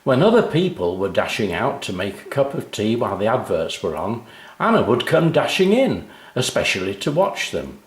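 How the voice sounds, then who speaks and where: A man reading his own children's story aloud in an animated storytelling style. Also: fairly low pitch, moderate pace, reverberant.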